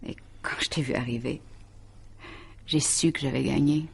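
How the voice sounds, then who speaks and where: An actress playing a calculating, possessive middle-aged woman in a radio mystery drama, speaking in a taunting, deliberate, self-satisfied way. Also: very deep voice, fairly even intonation, vocal fry, breathy edge, full character voice. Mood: smugly triumphant.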